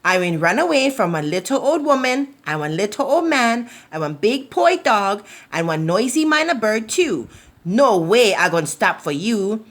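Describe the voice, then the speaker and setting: A woman's voice: medium pitch, big pitch swings, moderate pace. A woman voicing a gleeful little runaway rice man from a children's storybook, taunting in a chant with Hawaiian Pidgin phrasing. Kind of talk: children's storybook read-aloud.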